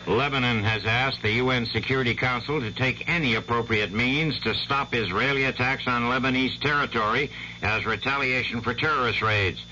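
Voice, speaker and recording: A man's voice: mid-range voice, normal speed, pushed and bright. A man reading world news headlines in a formal radio newsreader delivery. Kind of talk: 1970s radio news bulletin. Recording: muffled old radio broadcast with hum.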